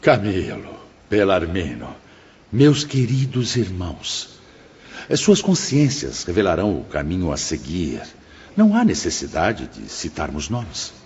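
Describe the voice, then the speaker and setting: Man acting a deep, fatherly spirit mentor in a hall. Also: wildly swooping pitch, darkish tone, husky, exaggerated voice. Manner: formal, measured, preaching. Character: venerable male spirit mentor, fatherly, solemn, exhorting. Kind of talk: dramatised audiobook of a spiritist novel. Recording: dull, reverberant hall sound with music behind.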